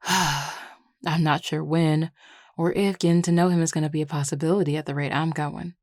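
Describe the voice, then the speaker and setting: Flirty Southern US werewolf played by a woman, low-pitched, drawling and affectionate, close dry sound, intimate audio roleplay. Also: steady tone, moderate pace, darkish tone, dry recording, natural voice. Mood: wistful, smitten. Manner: drawling, teasing, sighing, casual and affectionate. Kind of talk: intimate audio roleplay, one-sided conversation.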